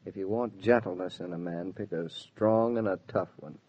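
Young American husband played by a man, gentle and earnest, fairly low voice, muffled vintage radio drama. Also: normal speed, a little hiss or hum, close to an everyday voice. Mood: tender, thoughtful. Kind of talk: 1940s radio drama acting. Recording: dull 1940s radio transcription.

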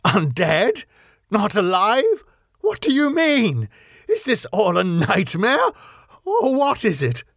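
A man's voice: high-pitched, wildly swooping pitch, takes it slowly, a bit bright, no echo, clean studio sound, pitched above the performer's own voice, heavily acted. A man voicing a passionate, anguished young English lord with a hot temper in an audiobook story. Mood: shocked, anguished.

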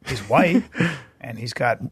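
A man co-hosting a podcast, dropping quiet, mumbled joking asides in casual chat. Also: mid-range voice, animated, slow. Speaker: podcast co-host.

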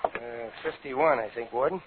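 A man's voice: mid-range voice, moderate pace, natural voice. An actor playing a cocky, slangy ex-convict crook in his fifties in a 1950s radio drama. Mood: feigned contrition. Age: 50s. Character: tough, wisecracking 51-year-old ex-convict crook. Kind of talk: 1950s radio drama acting and first-person narration. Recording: tinny, noisy old radio broadcast.

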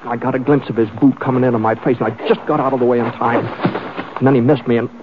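An actor playing an easygoing American gunfighter in a 1950s radio western, talking and narrating with a hesitant, understated folksy drawl. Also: male voice, mid-range voice, fairly even intonation, quick pace, background noise, close to an everyday voice. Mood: reflective storytelling. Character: laconic, easygoing drifting gunfighter, adult man. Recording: muffled 1950s radio recording.

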